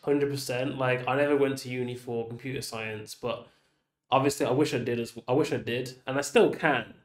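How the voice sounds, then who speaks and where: A man hosting a casual interview, talking in a relaxed, chatty way and saying "like" a lot. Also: mid-range voice, brisk, roomy sound. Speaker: interview host.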